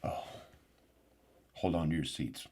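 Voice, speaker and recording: A man playing an excitable, paranoid male conspiracy pundit who speaks with indignant outrage. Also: takes it slowly, exaggerated voice. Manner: indignant, excitable, outbursts of rising pitch. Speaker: show regular. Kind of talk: comedic parody show.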